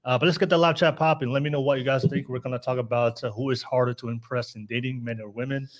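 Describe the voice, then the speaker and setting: A man's voice: medium pitch, steady tone, fast. A man hosting a casual live podcast, upbeat, laughing often and restarting his sentences. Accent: Californian. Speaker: podcast host.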